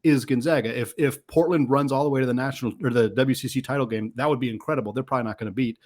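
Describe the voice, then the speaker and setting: Male sports podcast host, mid-pitched voice, casual analytical chat with plenty of qualifying asides. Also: rapid-fire, darkish tone.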